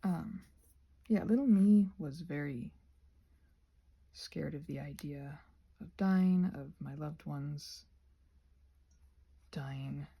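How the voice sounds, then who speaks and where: A woman chatting casually in a rambling ASMR style, breathy and often slipping toward a whisper, with playful, self-mocking asides. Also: low voice, slow pace, pauses often, dark tone. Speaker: ASMR creator painting a craft while rambling.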